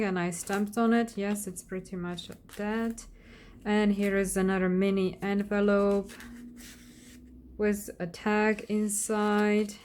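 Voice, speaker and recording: A woman whose first language is Slovak, giving an unscripted flip-through of a handmade craft journal in a hesitant manner, often pausing and searching for words. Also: mid-range voice, a little mellow, a little hiss or hum. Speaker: craft vlogger.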